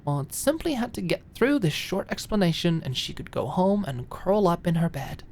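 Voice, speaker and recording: A woman's voice: fairly low pitch, very animated, normal speed, a little mellow, a little hiss or hum. A woman reading scripted, dramatic story narration as an audiobook narrator.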